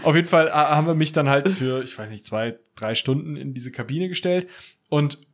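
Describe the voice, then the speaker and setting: A man's voice: on the high side, brisk, a crowd in the background. A man speaking casually as a podcast guest, telling anecdotes about his creative work. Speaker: guest, audio drama creator and voice actor.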